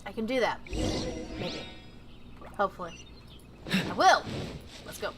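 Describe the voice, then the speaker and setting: A woman's voice: mid-range voice, very animated, slow pace. A woman game streamer giving casual commentary, talking to herself as she plays. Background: game music.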